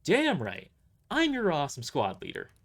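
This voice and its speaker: A man's voice: fairly high pitch, huge pitch swings, normal speed, a bit bright, voice pushed up, heavily acted. A man voicing a cocky, brash mercenary squad leader in an audio drama, boastful, casual and dismissive.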